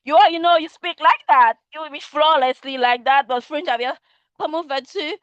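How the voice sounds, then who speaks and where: A woman's voice: high-pitched, a little fast, no echo, dull audio. A woman in her thirties from Haiti, a Haitian Creole speaker with a Haitian accent, giving a rambling answer with frequent laughs and fillers during a video-call conversation class. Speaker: student participant. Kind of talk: casual conversation club answer.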